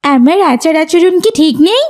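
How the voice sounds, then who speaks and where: Snooty little girl for moral cartoon, high childlike pitch, scornful delivery, played by a woman. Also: expressive, unhurried, a little mellow. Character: Lamia, haughty scornful little girl who mocks fasting.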